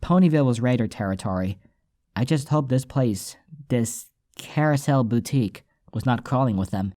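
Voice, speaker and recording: Mellow male story narrator, dry sound. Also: mid-range voice, animated, normal speed, no echo. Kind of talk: scripted first-person story narration.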